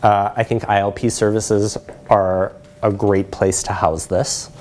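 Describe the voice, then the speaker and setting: A man in his thirties giving a lecture and discussion, measured, often posing rhetorical questions to his listeners. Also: on the low side, little pitch movement, a little mellow, a little hiss or hum. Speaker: presenter, social work researcher. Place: lecture room, at the front by a screen.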